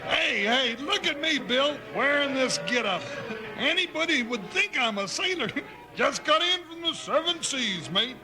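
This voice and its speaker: An actor playing a bumbling, good-hearted, talkative cowboy sidekick with an American Western accent in a 1950s radio western. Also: male voice, extremely high pitch, big pitch swings, unhurried, over loud music, full character voice. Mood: chatty. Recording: vintage 1950s radio recording.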